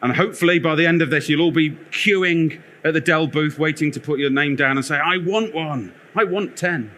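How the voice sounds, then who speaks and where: Loud, bright male presenter, energetic and self-deprecating, live conference talk. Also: fairly high pitch, normal speed. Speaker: conference speaker, product lead. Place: conference theatre.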